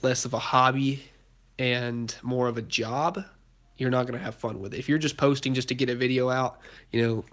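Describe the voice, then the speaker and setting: A man's voice: medium pitch, fairly bright tone. A young man talks loosely to his viewers while filming on a phone in a cluttered home garage. He sounds congested, like he's losing his voice, and rambles with fillers and false starts. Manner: rambling, lots of fillers and restarts. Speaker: car YouTuber hosting a live stream. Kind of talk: casual live stream, answering chat questions. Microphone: phone held while filming.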